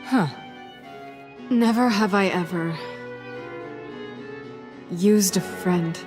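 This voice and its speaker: A woman's voice: mid-range voice, very slow. An actress playing a guarded, guilt-ridden female captive in a video game drama. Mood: pained. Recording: orchestral string music under the voice.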